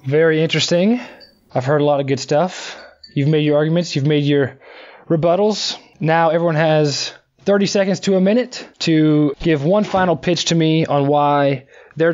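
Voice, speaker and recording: A man with a slightly raised voice, steering a debate between friends in a measured, wry tone. The sound is a little dull and muffled. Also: a little high, darkish tone. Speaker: debate moderator. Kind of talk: casual podcast moderating.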